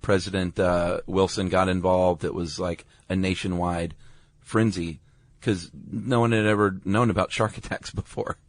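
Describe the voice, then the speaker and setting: Deep-voiced American man, casual podcast co-host. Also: little pitch movement, normal speed, dry recording. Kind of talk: casual conversational banter.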